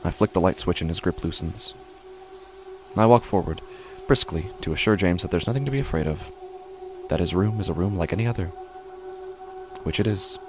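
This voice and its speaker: A man playing an uneasy father who narrates his own horror story in a deliberate, measured way with dramatic pauses. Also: low-pitched, faint music behind, natural voice. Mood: grave, uneasy. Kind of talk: scripted first-person horror narration.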